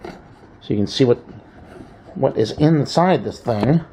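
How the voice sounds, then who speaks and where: A man presenting an unscripted hands-on repair walkthrough, thinking aloud unhurriedly with trailing pauses. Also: medium pitch, animated, dark tone. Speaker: hobbyist video presenter. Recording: echoey room with faint background noise.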